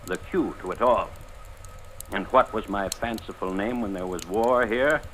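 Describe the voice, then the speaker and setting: An actor playing a proud, bitter former resistance leader confessing in a radio drama, with clipped, formal, declamatory phrasing. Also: male voice, lowish voice, a little slow, fairly bright tone. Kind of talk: 1950s radio drama acting. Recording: noisy old radio broadcast recording.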